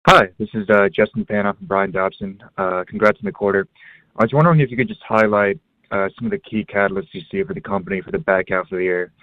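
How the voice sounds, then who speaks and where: A man calling in as an analyst, asking questions in halting, spontaneous phrasing. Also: lowish voice, no room sound. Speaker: analyst caller. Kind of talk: spontaneous question on earnings call. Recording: dry narrow phone line.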